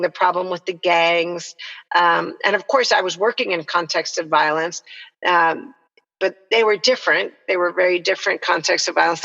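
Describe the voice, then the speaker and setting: A woman's voice: fairly low pitch, creaky, fairly bright tone. A middle-aged woman from El Salvador speaking as a podcast guest, telling her story in an earnest, reflective, explanatory way over a dull, reverberant connection. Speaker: nonprofit co-founder, podcast guest. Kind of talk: conversational interview storytelling.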